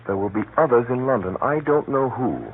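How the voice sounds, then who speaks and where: A man acting a grave gentleman who narrates a spy plot in an urgent, deliberate, dramatic 1930s radio drama style. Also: medium pitch, expressive, lightly acted. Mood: urgent, foreboding. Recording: muffled, noisy 1930s radio recording. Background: faint hiss and noise.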